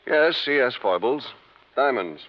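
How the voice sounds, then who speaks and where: An actor playing a sharp, guarded company manager in his thirties, matter-of-fact, in 1950s radio drama. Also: medium pitch, expressive, a little slow, dull audio. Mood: cool, guarded. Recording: band-limited vintage radio recording.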